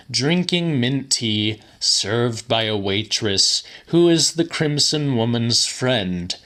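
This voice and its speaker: A man giving a dramatic first-person audiobook reading of literary fiction, with deliberate, emphatic, theatrical delivery. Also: medium pitch, pushed and bright. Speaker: audiobook narrator.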